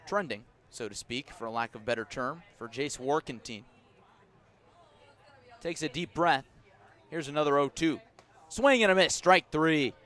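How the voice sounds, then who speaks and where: A male baseball radio announcer with a somewhat high-pitched voice calls a game from an outdoor ballpark. He describes the scene in careful, measured detail. His delivery is live play-by-play, made punchier for the action. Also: a bit bright. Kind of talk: live sports commentary.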